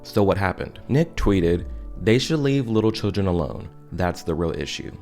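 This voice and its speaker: Deep-voiced man giving commentary. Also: expressive, moderate pace, a little mellow. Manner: emphatic, opinionated. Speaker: video commentator. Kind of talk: opinion commentary to viewers. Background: music.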